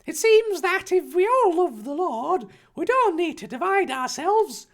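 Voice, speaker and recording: Someone putting on an earnest, high-pitched little boy. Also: very animated, a little slow, full character voice. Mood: puzzled, pondering. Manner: innocent, tentative questioning. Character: earnest, naive little boy questioning religion.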